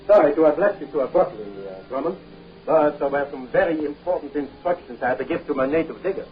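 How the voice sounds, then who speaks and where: An actor playing a suave, evasive archaeologist in a 1930s film serial, with a formal, courteous, theatrical manner. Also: male voice, a little high, lively intonation, fast talker, a little hiss or hum, close to an everyday voice. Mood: smoothly courteous. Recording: dull 1930s film soundtrack, faint music.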